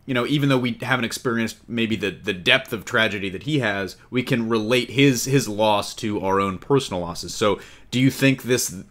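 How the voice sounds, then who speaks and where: A man's voice: fairly low pitch, normal speed, fairly bright tone. A man hosting a casual fan discussion of comic book films, often repeating and rephrasing as he goes. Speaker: podcast host.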